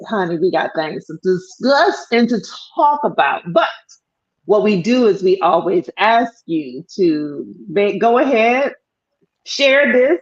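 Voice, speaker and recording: Animated middle-aged woman co-hosting. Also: mid-range voice, muffled recording. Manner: animated, playful, laughing often. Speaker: co-host. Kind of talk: lively live-stream chat.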